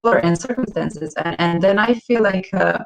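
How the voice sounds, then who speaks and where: A woman's voice: fairly low pitch, vocal fry, crowd noise, poor audio. Woman on a video call. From Croatia. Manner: halting, repeats words while searching. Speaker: community manager, panel guest. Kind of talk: online panel talk.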